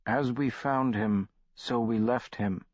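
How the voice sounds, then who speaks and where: Man voicing solemn old shaman, measured, dry dull audiobook recording. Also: mid-range voice, no room sound, close to an everyday voice.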